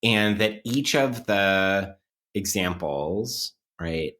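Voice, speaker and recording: A man's voice: deep voice, lively intonation, drawn-out words, a bit bright. A man co-hosting a podcast, talking in a casual academic way with bookish words and wry asides. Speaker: podcast co-host.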